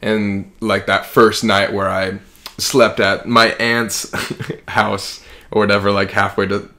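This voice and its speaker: Low-pitched young American man, chatting casually. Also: level tone, takes it slowly, pushed and bright. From Kansas, United States. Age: early 20s. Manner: rambling, informal, heavy use of "like". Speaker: podcast co-host. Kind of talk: casual reflective chat.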